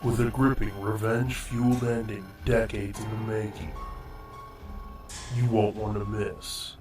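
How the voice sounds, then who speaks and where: A low-voiced man reads horror trailer narration in an ominous, measured way. He sounds set back from the mic in a roomy space, with loud music playing under him. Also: recorded from a distance. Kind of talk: scripted dramatic trailer narration.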